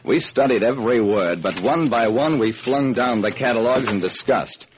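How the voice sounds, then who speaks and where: An actor playing a wry, sharp-witted detective who narrates and acts in an old-time radio drama. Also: male voice, mid-range voice, moderate pace, lightly acted. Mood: wry, narrating. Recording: noisy vintage radio recording.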